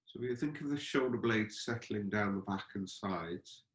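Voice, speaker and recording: A man leading a guided meditation as a teacher, speaking gently and unhurriedly, feeling out his phrases with pauses. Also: lowish voice. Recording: roomy, echoing space.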